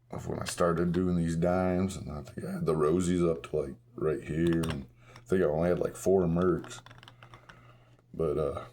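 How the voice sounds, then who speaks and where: Hoarse male vlogger, very low, loose self-correcting chat. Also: darkish tone, slight background noise. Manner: rambling, self-correcting, chuckles at himself. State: hoarse, partly lost voice. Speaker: coin-collecting vlogger. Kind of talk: casual show-and-tell vlog.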